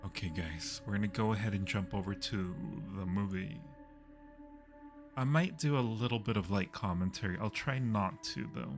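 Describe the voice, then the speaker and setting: A man's voice: fairly low pitch, moderate pace. A man hosting a casual game livestream, chatting playfully and directly with his viewers. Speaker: livestream host. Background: background music.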